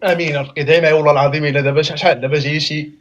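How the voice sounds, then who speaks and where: A man's voice: fairly high pitch, fairly even intonation, rapid-fire, highs cut off. A man in his thirties with a Moroccan Arabic accent, a chatty caller on a video call who keeps swearing by God. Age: 30s. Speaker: caller on a live stream.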